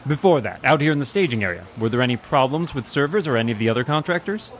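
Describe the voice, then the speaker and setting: An actor playing a pompous, mysterious crime-fighter in a melodramatic parody of old-time radio acting. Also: male voice, medium pitch, animated, quick pace, a little hiss or hum, natural voice. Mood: pompous, probing. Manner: melodramatic, grandly theatrical.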